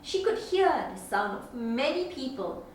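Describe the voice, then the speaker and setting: A woman reading a picture book aloud to children as a storyteller, with deliberate, dramatic phrasing and expressive pauses. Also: high voice. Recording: very echoey room, distant mic, faint noise.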